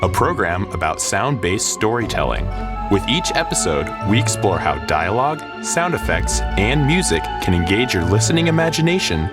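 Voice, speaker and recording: A low-voiced man announces a radio program with very lively intonation. His scripted introduction rides over prominent music. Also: normal speed.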